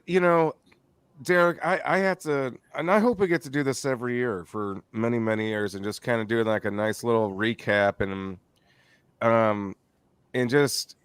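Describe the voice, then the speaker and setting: A man hosting a podcast, rambling warmly through thanks with many restarts. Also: medium pitch, clear, resonant tone, no echo. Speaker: podcast host. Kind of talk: casual podcast chat.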